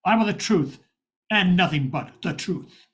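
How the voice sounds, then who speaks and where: An older man playing a rough-edged but good-hearted private eye in an old-time radio drama. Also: high voice, animated, measured pace. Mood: hearty. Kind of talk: 1940s radio acting.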